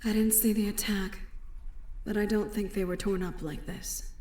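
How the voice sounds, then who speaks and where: A woman's voice: medium pitch, level tone, normal speed, dark tone, roomy sound, close to an everyday voice. A woman playing a cool, controlled, slightly irritable young woman with secrets in an audiobook drama. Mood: grave, worried.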